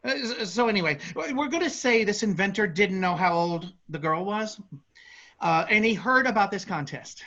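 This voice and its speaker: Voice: mid-range voice, lively intonation, normal speed, a bit bright, dull audio. A performer playing a chatty narrator telling a folk tale in a staged reading, with conversational asides. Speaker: narrator of a play. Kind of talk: theatrical storytelling in a staged reading.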